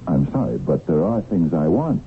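An extremely low, swooping voice for an ambitious, sheepish husband in an old radio play. He is evasive and halting, hesitating before he answers. It sounds like a dull vintage broadcast. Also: sing-song, a little hiss or hum. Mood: guilty, evasive. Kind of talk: 1970s radio drama acting.

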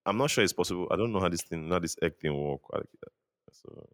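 A man's voice: low-pitched, animated, quick pace, no echo, studio quality. A young Nigerian man co-hosting a casual podcast, bantering and joking about himself, slipping into Pidgin. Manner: joking, self-mocking banter with Pidgin phrases. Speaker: podcast co-host. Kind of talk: casual chat.

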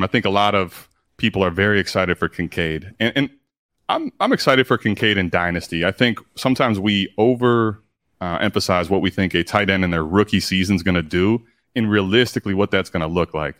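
Deep-voiced man talking fast. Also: fairly bright tone. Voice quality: deep radio voice. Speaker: podcast guest. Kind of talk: casual podcast chat.

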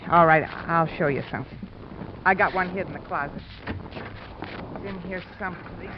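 A tough, defensive American wife , played by a woman, in old radio drama, plain-spoken and insistent. The recording is dull and clearly noisy, like a worn vintage broadcast. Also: lowish voice, normal speed, natural voice. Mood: confiding. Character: tough, defensive working-class wife shielding her man. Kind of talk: 1940s-50s radio drama acting.

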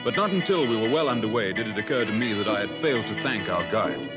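Genteel male radio narrator, rather low voice. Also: expressive, natural voice. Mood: reflective narration. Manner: literary, polite, measured. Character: genteel, admiring male safari companion and narrator. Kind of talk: 1950s radio drama narration. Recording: muffled old radio, loud music underneath.

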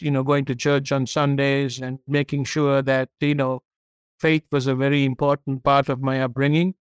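Smooth, even-toned Sri Lankan-born guest. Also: male voice, medium pitch, dry recording. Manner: steady, earnest storyteller. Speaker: podcast guest, company CEO. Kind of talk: interview storytelling.

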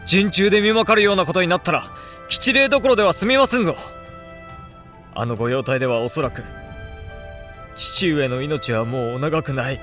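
A man's voice: fairly high pitch, normal speed, long pauses, sharp tone, faint music behind. A man acting an earnest young samurai heir in a period voice drama, urgent, formal and emphatic. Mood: alarmed.

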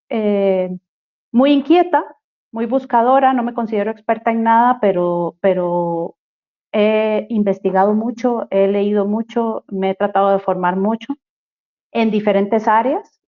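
A woman from Costa Rica with a Costa Rican accent, speaking as an interview guest on human rights, thoughtful and halting, with many fillers and restarts. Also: mid-range voice. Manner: reflective, many fillers and restarts, searching for words. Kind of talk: casual interview talk. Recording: dull lo-fi audio.

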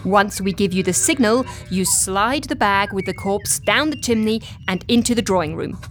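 An actress in a comedy audio drama playing an exasperated, organized woman agent, with an animated, instructive manner. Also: mid-range voice, normal speed, soft background music, natural voice. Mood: brisk, commanding.

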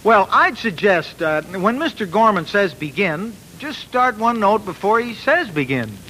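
Folksy, higher-voiced young radio storyteller. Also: male voice, big pitch swings, unhurried, bright, forceful tone, a little hiss or hum, full character voice, rough recording. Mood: modest bragging. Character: earnest, boastful small-town youth telling his life story. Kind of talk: scripted narration, 1940s radio acting. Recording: vintage radio recording with music.

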